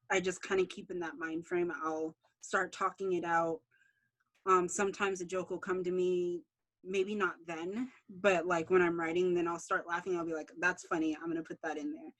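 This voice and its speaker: A woman's voice: on the low side, fairly bright tone, low-quality recording. A young woman giving casual, unscripted interview answers as a writer, thinking aloud in loose, informal fragments. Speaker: interviewee, writer and show creator.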